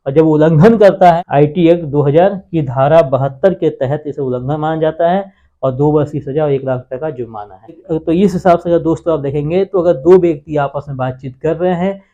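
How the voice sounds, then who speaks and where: Guy explaining law to viewers, dark mellow tone, muffled lo-fi audio, keeps asking n answering himself. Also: on the high side, brisk. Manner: repetitive, asks and answers his own questions. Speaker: legal explainer on a channel. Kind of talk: informal explainer lesson to viewers. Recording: dull lo-fi sound.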